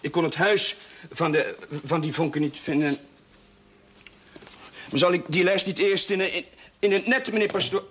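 Nervous, stammering young chaplain. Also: male voice, high voice, steady tone, normal speed, pauses often, fairly bright tone. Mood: flustered. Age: young adult. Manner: hesitant, stammering, apologetic. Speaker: actor in a television drama series. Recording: faint background noise, old television audio.

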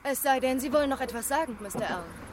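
An actress playing a self-assured, probing police detective who speaks formally and in clipped questions in a radio crime drama. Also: female voice, high voice, expressive, fast talker, heavy background noise. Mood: firm, cool. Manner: formal, clipped, insistent on rank.

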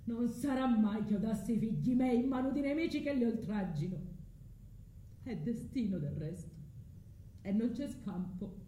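A woman's voice: on the high side, animated, normal speed, warm tone, lightly acted. An actress playing Medea, an anguished, vengeful mother, in an impassioned, declamatory tragic monologue for radio theatre. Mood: resolute, anguished. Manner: impassioned, declamatory, swinging between tenderness and fury. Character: Medea, anguished vengeful mother resolving to kill her children. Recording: roomy echo, faint background noise.

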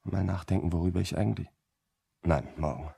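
An actor playing a harried, brooding businessman in a radio drama, gravelly and husky, talking in a rambling, self-questioning way. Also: sits low, steady tone, fairly quick, dark tone, exaggerated voice. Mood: tired, distracted. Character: harried male businessman, brooding, longing for time to think. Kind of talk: German radio drama acting.

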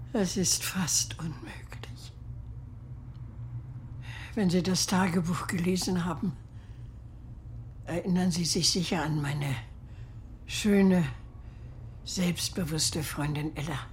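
Slow-spoken grieving woman survivor. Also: fairly low pitch, darkish tone, slight background noise. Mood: tender, subdued confession. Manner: measured, halting, often dropping into a breathy near-whisper. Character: grieving woman, war survivor confiding her guilt and secret love. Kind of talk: dramatic first-person radio play monologue.

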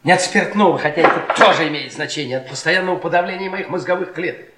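Casting: man playing embittered middle-aged academic husband, henpecked, needling and halting, theatrical radio drama, roomy echo. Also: fairly high pitch, over a noisy crowd, natural voice. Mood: weary bitterness. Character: bitter, browbeaten middle-aged history professor husband. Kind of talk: theatrical radio play acting. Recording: echoey room, stage radio play.

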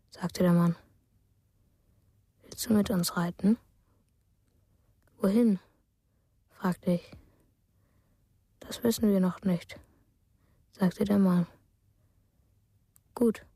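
A woman voicing a dreamy child narrator in a radio play, hushed and half-whispering. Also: medium pitch, breathy edge, dry recording, studio quality. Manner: slow, hushed storytelling.

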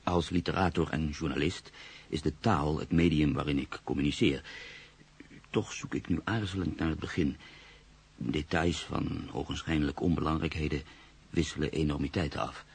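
Thirties literary journalist, very low gravelly voice, measured, reflective, radio play. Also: male voice, fairly even intonation, exaggerated voice. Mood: reflective, hesitant. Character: reflective, hesitant writer-journalist in his mid-thirties. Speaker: actor narrating as the lead character. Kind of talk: radio drama monologue and dialogue.

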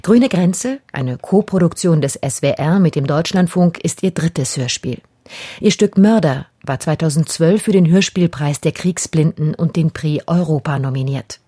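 A woman's voice: low voice, moderate pace, mellow, husky, dry recording, studio quality. A woman announcer reading a scripted introduction to a radio play in a composed, formal way. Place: dry broadcast studio.

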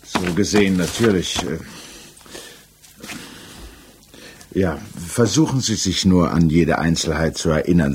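A man acting a composed, persistent examining magistrate in a 1960s German radio crime drama, questioning in a measured, official way. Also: sits low, a little mellow, slightly rough, heavily acted. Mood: measured, probing. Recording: 1960s mono radio production.

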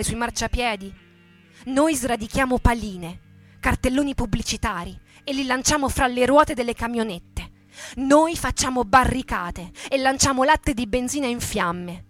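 An actress giving an emphatic, indignant radio theatre reading as a young militant recalling street riots. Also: female voice, on the high side, a bit bright. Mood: intense, proud. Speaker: actress and narrator.

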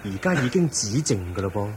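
An actor playing the Buddha in a Cantonese radio drama as a calm, caring teacher who advises gently in measured phrases. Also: male voice, on the low side, noisy background. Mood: caring concern.

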